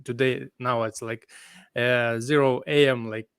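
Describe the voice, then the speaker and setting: Ukrainian guy on a video call late at night, sleepy, slow, lots of uhs and likes, smooth voice. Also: mid-range voice, fairly even intonation, fairly bright tone, dry recording, studio quality. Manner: halting non-native English with many fillers. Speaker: guest guitarist. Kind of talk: casual interview chat.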